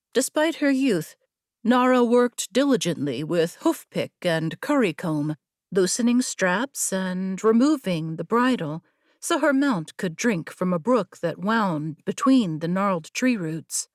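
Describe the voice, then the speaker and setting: Female narrator, fantasy audiobook, unhurried and deliberate, mid pitch, dry studio-like sound. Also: no echo. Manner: measured, deliberate. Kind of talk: scripted fantasy story narration.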